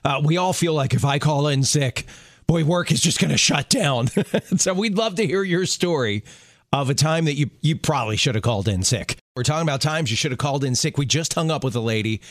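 A man's voice: a little high, animated, fairly quick, no room sound. A man co-hosting a radio show, chatting in an easygoing way and chuckling often from the studio. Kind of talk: casual radio chat. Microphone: studio microphone.

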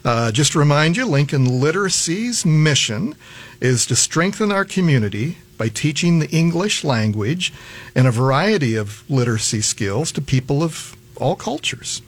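A man's voice: on the high side, very animated, moderate pace. A man hosting a radio talk show in a studio, chatting casually with lots of "uh" fillers.

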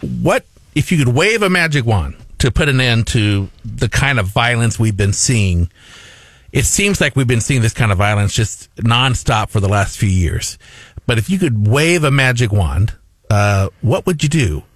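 A man's voice: mid-range voice, lively intonation, a little slow, no echo. Middle-aged man hosting radio talk. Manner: earnest, rambling with many restarts and fillers. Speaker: radio talk show host. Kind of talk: radio talk show interview. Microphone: studio microphone.

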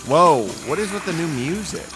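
A man's voice: on the high side, expressive, moderate pace. A man playing a skeptical, laid-back radio host sidekick in a comic radio drama, casual and doubtful. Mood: puzzled. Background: music.

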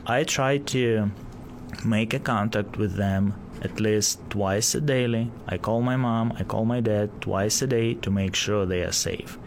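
A man from Ukraine with a clear Ukrainian accent answers interview questions in careful, halting English. His voice sits fairly low, and he pauses and restarts as he searches for words. A faint background hum runs under the recording. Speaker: guest, police officer. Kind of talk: radio interview.

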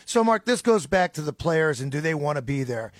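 A man's voice: fairly high pitch, sing-song, brisk, no room sound. A man co-hosting a sports radio show, casually putting questions to a guest from the studio.

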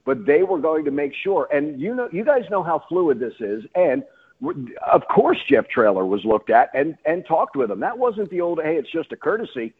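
A man with a fairly high, rough-edged voice gives emphatic sports talk as a radio guest. He circles back and rephrases his points as he goes. The sound is a little dull and lo-fi. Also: sing-song, normal speed. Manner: emphatic, repeats and rephrases himself.

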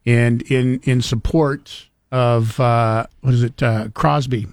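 A man's voice: mid-range voice, steady tone, slow pace, no room sound. A man hosting a morning talk radio show, chatting casually, laughing easily and rambling with fillers. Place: radio studio. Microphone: studio microphone.

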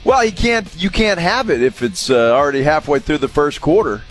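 A man's voice: fairly high pitch, expressive, fairly quick, soft background music. A man co-hosting a radio show with casual, exclaiming banter.

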